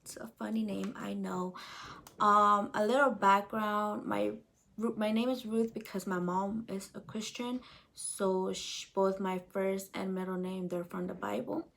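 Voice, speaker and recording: A woman's voice: mid-range voice, little pitch movement, measured pace, gravelly, fairly bright tone. A woman in her twenties from El Salvador, chatting casually to her viewers in a question-and-answer vlog, with lots of fillers and a direct "you guys" manner. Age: 20s. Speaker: vlogger.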